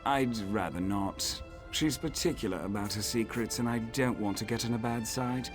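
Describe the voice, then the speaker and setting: An actor playing a cocky, sardonic assassin in a fantasy video game, talking in a wry, casual, sly way. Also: male voice, medium pitch, normal speed, over music, lightly acted. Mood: wry, matter-of-fact. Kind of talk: video game character acting.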